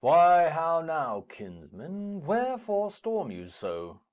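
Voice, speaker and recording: An actor playing a jovial, blustering old lord in theatrical Shakespearean style, booming and declaiming. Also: male voice, sing-song, slow pace, darkish tone. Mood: placating, firm.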